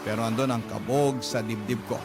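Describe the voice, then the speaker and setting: A man's voice: medium pitch, fairly even intonation, brisk. A man giving scripted first-person dramatic narration as a radio storyteller. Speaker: storytelling radio host reading a letter. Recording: loud music bed under voice.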